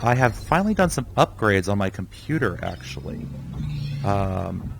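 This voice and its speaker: Young male gaming host, slightly low voice, a bit sick, chatty live commentary. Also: sing-song, moderate pace, gravelly, over music. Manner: rambling, chatty, talks to himself while playing. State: sick, voice somewhat off. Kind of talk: casual live gameplay commentary.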